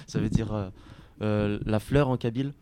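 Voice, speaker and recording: Smooth low-voiced man on radio. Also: sing-song, slow, dark tone. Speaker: rapper guest. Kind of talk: casual radio chat.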